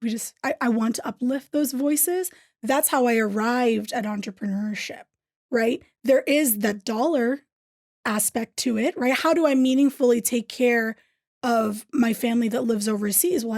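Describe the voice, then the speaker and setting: Earnest woman, gravelly edge. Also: fairly high pitch, normal speed, a little mellow, no room sound, clean studio sound. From Thailand. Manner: earnest, says "right?" often. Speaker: interview guest, business owner. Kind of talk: casual interview talk.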